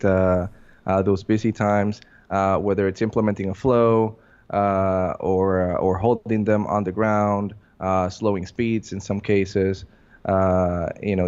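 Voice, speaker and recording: A man with a lowish voice gives unscripted interview answers. He talks haltingly, feeling his way through sentences with many fillers. The sound is somewhat dull and muffled. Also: a little mellow, clean tone. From Puerto Rico. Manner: halting, hesitant, filler-heavy phrasing. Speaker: interview guest, air traffic controller. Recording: muffled lo-fi recording.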